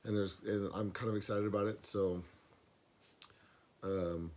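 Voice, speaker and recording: Man, hoarse, lowish voice, rambling, lots of ums, casual vlog, camera mic. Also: fairly even intonation, unhurried, darkish tone. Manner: rambling, many fillers and restarts. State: hoarse, losing his voice. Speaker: pipe smoking vlogger. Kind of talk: casual box opening vlog. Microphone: camera mic, no external mic.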